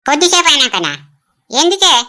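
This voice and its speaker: A woman plays a village elder sister in a family drama, with a high, bright voice and a Telangana accent. She is blunt and emotional, exclaiming plaintively. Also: big pitch swings, a little fast, heavily acted. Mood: blunt, curious. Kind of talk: rural family drama acting.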